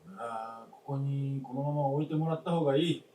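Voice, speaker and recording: A man playing a stubborn, weary elderly father in a stage radio drama, speaking bluntly and gruffly. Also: medium pitch, normal speed, warm tone, close to an everyday voice. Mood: stubborn, resigned. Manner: gruff, blunt old-fashioned speech. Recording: roomy distant stage recording, faint noise.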